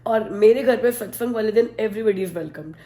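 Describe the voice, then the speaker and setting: A woman from India with a naturally husky voice, hoarse and strained today, chatting casually to camera as a family vlogger and laughing often. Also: medium pitch, moderate pace, mellow, reverberant.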